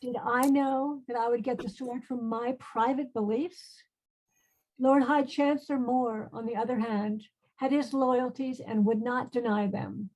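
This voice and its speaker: A woman's voice: a little high, steady tone, a little slow, darkish tone, poor audio. A woman acting an earnest, proud, devout condemned queen in an online play reading. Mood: solemn, resolute.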